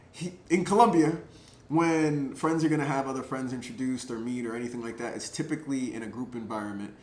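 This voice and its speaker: A man telling a personal story casually to camera, chatty and animated, with lots of filler words. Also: mid-range voice. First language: English. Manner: chatty, lots of "like" and "um" fillers, laughs between thoughts; animated, gets louder at exciting moments. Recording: roomy echo, faint background noise.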